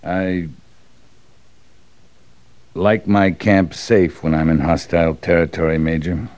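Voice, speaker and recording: Muffled vintage radio, low-voiced cavalry officer, middle-aged man, bitter, clipped, grim, Western drama. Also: flat delivery, slow pace, darkish tone, dry recording. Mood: bitter, brooding. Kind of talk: old-time Western radio drama acting. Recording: dull, band-limited old radio recording.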